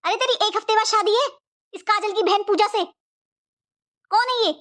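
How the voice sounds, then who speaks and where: A woman's voice: extremely high pitch, moderate pace, a bit bright. A woman playing a middle-aged mother-in-law in a cartoon family drama, a fretful matriarch who talks in a rambling, repetitive, motherly way. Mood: shocked, scolding.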